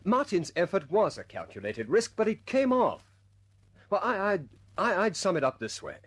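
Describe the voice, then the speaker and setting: Husky, animated RAF squadron leader. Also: male voice, sits high, moderate pace. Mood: earnest, persuasive. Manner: hesitant stammers when pressing a point, otherwise commanding. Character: earnest, brisk male RAF squadron leader, good-humoured. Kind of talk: vintage radio drama acting.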